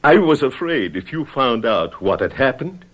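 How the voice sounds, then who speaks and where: A man's voice: medium pitch, sing-song, moderate pace, poor audio. An actor in a radio mystery drama playing a remorseful, pleading man who makes an earnest, self-justifying confession. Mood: defensive pleading.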